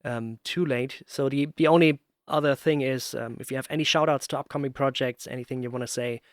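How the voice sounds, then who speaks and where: A man's voice: mid-range voice, steady tone, brisk, dry recording, clean studio sound. A man hosting a podcast in a casual, conversational interview style. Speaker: podcast host.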